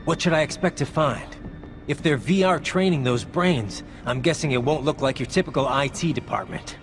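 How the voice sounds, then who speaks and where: Game cutscene: tough, terse cyborg soldier, gravel-throated, defiant, music behind. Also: male voice, on the high side, lively intonation, moderate pace. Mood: terse, determined. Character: brooding male cyborg soldier, tough, sardonic, haunted by violent past. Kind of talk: video game cutscene acting.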